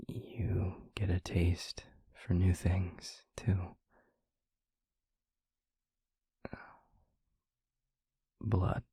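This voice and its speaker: A very breathy, husky vampire boyfriend acted by a man murmurs low and half-whispered in an intimate audio roleplay. He is gentle and concerned, hesitating often as he reassures his partner, with a slow, careful delivery. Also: no room sound, full character voice. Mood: calm, explaining. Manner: soft, hesitant, halting, reassuring.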